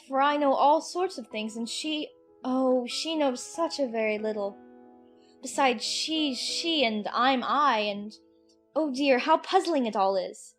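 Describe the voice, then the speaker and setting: A woman playing a curious, chattering young girl who muses aloud to herself in a dramatized audiobook. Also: high-pitched. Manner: talking to herself, musing aloud. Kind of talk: dramatized audiobook character acting.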